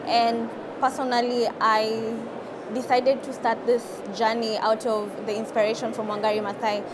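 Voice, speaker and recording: Higher-pitched young Kenyan woman, steady delivery. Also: moderate pace. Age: 20s. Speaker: climate activist and podcaster guest. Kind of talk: live interview answers. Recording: clear vehicle and ambient noise behind.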